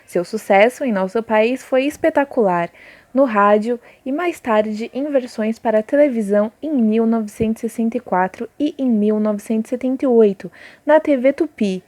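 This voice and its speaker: A woman's voice: on the high side, normal speed, a little mellow. A Brazilian woman teaching an informal recorded lesson, addressing pupils directly and reading aloud. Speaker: schoolteacher.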